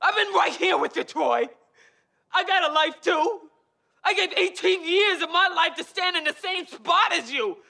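A wife shouting out years of grievance, high and bright, gravelly strain. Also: female voice, a little slow, heavily acted. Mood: anguished. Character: anguished wife, shouting back at her husband. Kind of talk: film drama scene.

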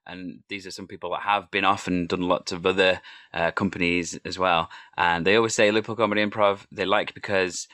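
A man from Wales hosting a relaxed interview, asking open questions in an encouraging way. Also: low-pitched, fairly quick, no echo. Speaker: podcast host and primary school teacher.

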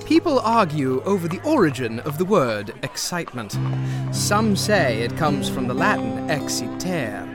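Victorian detective, pompous, wordy, slow, slightly high voice, comic audio drama. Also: male voice, sing-song, music in the background. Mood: grandly musing. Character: pompous, bumbling amateur Victorian gentleman detective. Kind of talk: comedic audio drama, theatrical narration.

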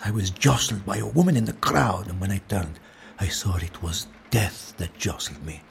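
An actor playing a resigned, courteous man in an audio drama, speaking in a measured, precise way. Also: low-pitched, creaky, slight background noise. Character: resigned, courteous man calmly fatalistic about his death.

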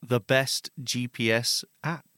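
A man's voice: mid-range voice, steady tone, slow, pushed and bright, clear, resonant tone, dry recording, studio quality. A man co-hosting a podcast, chatting casually and often chiming in with short agreements. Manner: brief agreeing interjections. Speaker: podcast co-host. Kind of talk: casual chat.

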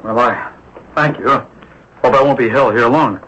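A husky, mid-pitched male schemer, formal and rueful as he explains himself politely. The radio drama sound is dull and lo-fi. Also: a little slow, a bit bright. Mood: rueful, confessing.

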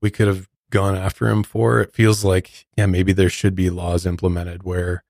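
A man's voice: sits low, completely flat delivery, moderate pace, creaky, darkish tone, no echo, clean studio sound. An American man co-hosting a podcast, chatting casually in conversational language. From United States. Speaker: podcast co-host.

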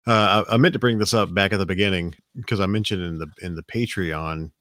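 A middle-aged man tells a long story on his podcast. His voice sits very low and has turned slightly raspy. He rambles, restarts sentences and repeats words. The sound is dry and close, with no room echo. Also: a little fast, a bit bright. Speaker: podcast host. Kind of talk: casual storytelling chat.

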